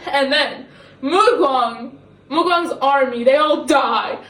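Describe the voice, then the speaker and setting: A woman's voice: high voice, takes it slowly. Giggly young woman, echoey kitchen. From Middle East. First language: not English. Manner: giggly, excitable, self-mocking. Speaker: book reviewer. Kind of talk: casual rambling book review. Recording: echoey kitchen, camera at a distance.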